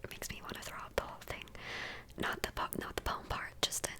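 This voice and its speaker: A woman in her twenties from the United States, softly whispering through a list of facts in an ASMR video, tripping over words and adding chatty asides. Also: quick pace. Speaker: ASMR creator.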